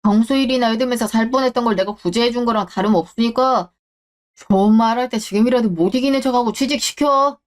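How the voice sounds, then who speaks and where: A woman's voice: fairly high pitch, quick pace, lightly acted. A woman voicing a divorced company boss in a radio drama, scornful and scolding.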